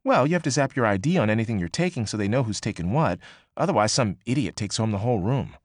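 A man voicing a brash, excitable young woman who talks fast, swears freely and pushes hard. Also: on the low side, no echo, clean studio sound. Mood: breezy. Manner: quick, emphatic, swearing freely. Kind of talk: audiobook character voice.

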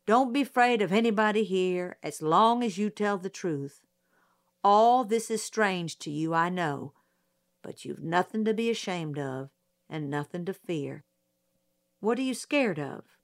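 A woman reading a storybook voices a gruff older male judge who tries to coax a witness. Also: mid-range voice, lively intonation, a little slow. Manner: gruff, coaxing.

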